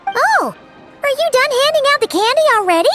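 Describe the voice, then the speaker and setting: A woman voicing a tiny, curious, excitable fairy companion who talks about herself in the third person, in a game cutscene. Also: very high-pitched, fairly quick, over music. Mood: chirpy.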